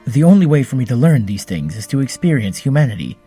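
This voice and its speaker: Audio drama android hero played by a man: earnest, formal, soft dark tone, faint music beneath. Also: mid-range voice, big pitch swings, fast, slightly rough. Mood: earnest, tender. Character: earnest, formal, gentle male android superhero.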